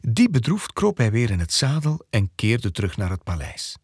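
Husky, expressive male storyteller. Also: medium pitch, normal speed, no room sound. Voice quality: husky, breathy. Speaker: narrator. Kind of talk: scripted fairy-tale storytelling. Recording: dry, close, faint music behind.

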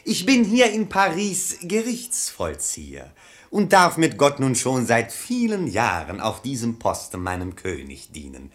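Radio drama bailiff: unctuous, mock-courteous, pious, unhurried, with a highly melodic delivery. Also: male voice, huge pitch swings. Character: oily, falsely polite, pious male bailiff. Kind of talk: theatrical verse radio play acting.

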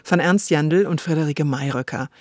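A woman's voice: sits low, normal speed, no room sound. A woman hosting a conversational radio discussion of literature, thoughtful and searching for her words. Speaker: radio podcast host.

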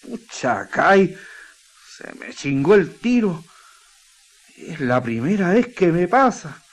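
A carefree young Chilean saltpetre miner for a radio play. His talk is folksy and exclamatory, with big swoops of pitch and a slow, drawn-out pace. He sounds proud of his trade. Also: very animated, heavily acted. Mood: embarrassed. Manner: colloquial, exclamatory. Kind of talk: radio drama acting.

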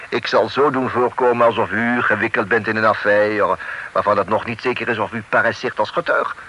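A man's voice: lowish voice, fairly even intonation, fairly quick, bright, forceful tone, dull audio. An actor with a French accent playing a worldly, wry male official in a radio play, dry and knowing, chuckling and dropping French words into his speech. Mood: reassuring. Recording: old radio recording with faint hiss.

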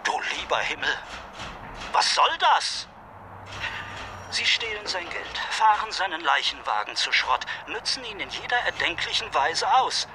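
Exasperated, higher-voiced male district attorney. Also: noisy background. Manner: formal, clipped questioning. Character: exasperated, officious middle-aged male district attorney named Lawrence. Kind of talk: radio crime drama acting.

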